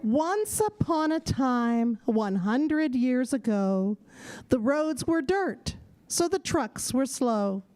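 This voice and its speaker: A woman's voice: high-pitched, expressive, takes it slowly, a little mellow. A woman narrating a rhyming children's story in an audio theater style.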